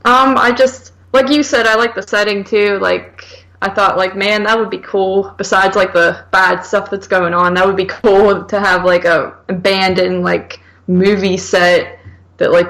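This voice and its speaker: A woman's voice: medium pitch, flat delivery, unhurried, gravelly, a bit bright, low-quality recording. A woman co-hosting a horror podcast, chatting casually and slangily about films, saying "like" constantly. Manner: slangy, heavy use of "like". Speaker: horror film podcast co-host. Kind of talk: casual film review chat.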